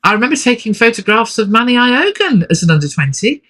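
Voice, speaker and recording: Woman co-host, easygoing hesitant chat, pitch that moves a lot. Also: very animated, quick pace, a bit bright. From England. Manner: hesitant, lots of ums and uhs. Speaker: podcast co-host, sports photographer. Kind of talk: casual chat.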